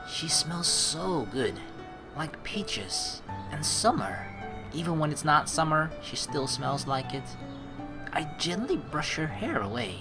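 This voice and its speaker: A young woman reading a visual novel aloud and adding playful, chatty commentary between lines. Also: sits low. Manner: playful, giggly, chatty asides. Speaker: let's play streamer.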